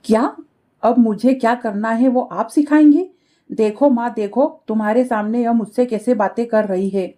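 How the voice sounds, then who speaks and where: A woman's voice: fairly high pitch, normal speed, mellow, natural voice. A woman voicing a spoiled, insolent young bird daughter in a cartoon story, with a whiny, dismissive manner. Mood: indignant. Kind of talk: animated moral story acting.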